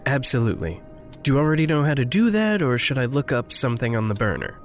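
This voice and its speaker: An actor playing a gentle, attentive friend in an audio drama, careful and reassuring in how he talks. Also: mid-range voice, very animated, fast, soft background music, close to an everyday voice. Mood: concerned, calm. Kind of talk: naturalistic audio drama acting.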